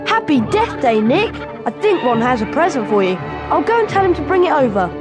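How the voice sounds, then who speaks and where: Very high-pitched British schoolkid. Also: female voice, big pitch swings, fairly quick, loud music behind the voice. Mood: cheerful. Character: eager, friendly schoolchild in a wizard game. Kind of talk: video game voice acting.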